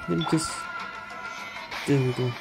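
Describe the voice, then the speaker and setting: A woman's voice: deep voice, fairly even intonation, unhurried, gravelly. A woman gaming streamer giving casual commentary as she plays. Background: game music.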